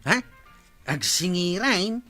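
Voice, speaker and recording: A man's voice: high-pitched, lively intonation, slow pace, sharp tone, faint music behind, full character voice. A man playing an agreeable, complaining village gossip in an audio drama, with an Ilocano accent. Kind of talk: Filipino audio drama acting.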